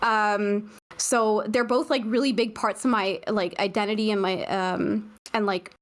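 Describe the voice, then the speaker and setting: A woman's voice: medium pitch, fairly even intonation, unhurried. Chatty young woman, full of fillers. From Canada. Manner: rambling, many fillers and restarts. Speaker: guest streamer. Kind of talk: casual podcast chat.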